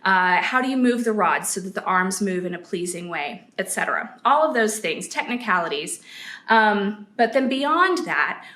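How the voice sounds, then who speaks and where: A woman teaching puppet performance, explaining each step in a steady, patient way. Her voice is mid-pitched and echoes in the room around her. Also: normal speed. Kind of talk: instructional explanation.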